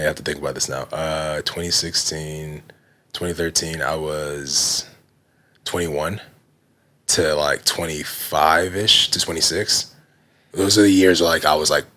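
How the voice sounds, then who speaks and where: A man in his thirties, a guest telling his business story in relaxed casual talk, full of "you know" and "like". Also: extremely low pitch, unhurried. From Nigerian heritage. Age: 30s. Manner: relaxed, heavy use of fillers like "you know" and "like". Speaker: guest, fitness brand founder.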